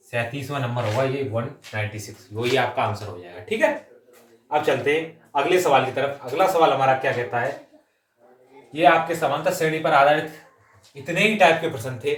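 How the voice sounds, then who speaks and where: A man's voice: mid-range voice, fairly bright tone. A male maths teacher with a mid-range voice works through exam problems aloud, one step at a time. He drops English number words into his explanation and keeps checking in with short tags. The sound is echoey, picked up some way from the microphone. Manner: repeatedly checks in with short tag phrases; mixes English number words and terms into the talk. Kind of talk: exam-coaching lesson, working sums aloud step by step. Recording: roomy echo, distant microphone.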